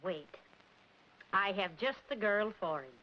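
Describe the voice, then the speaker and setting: An actress playing a sly, good-natured middle-aged wife in a 1940s film comedy, wry and teasing. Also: female voice, mid-range voice, animated, unhurried, natural voice. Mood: wry, mischievous. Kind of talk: 1940s radio-style film comedy acting. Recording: muffled, hissy 1940s film soundtrack.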